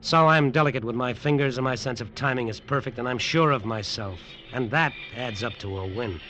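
An actor playing a cocky, scheming American detective in a tough, clipped, sardonic 1950s radio noir style. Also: male voice, mid-range voice, fairly quick, a bit bright, lightly acted. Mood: wry, confiding. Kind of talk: 1950s radio noir acting, first-person narration and dialogue. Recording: noisy old radio drama recording.

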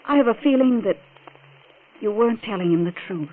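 Actress as earnest, anxious wife on crackly old radio. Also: female voice, on the high side, animated, a little fast, lightly acted. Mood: pleading, distressed. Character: anxious, placating wife hiding her worry. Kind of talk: 1940s radio melodrama acting. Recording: scratchy, narrow-band 1940s radio recording.

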